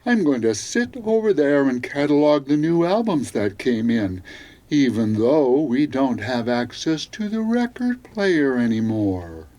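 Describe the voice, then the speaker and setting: A man's voice: mid-range voice, sing-song, measured pace, dark tone. A man playing a laid-back, teasing late-night seventies music DJ with a jokey, self-satisfied manner.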